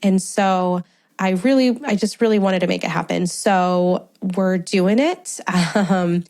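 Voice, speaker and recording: A woman's voice: lowish voice, steady tone, takes it slowly, a little mellow. A woman in her thirties hosting a solo podcast, talking in a chatty, friendly way with a congested, stuffy voice and frequent laughs. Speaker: podcast host.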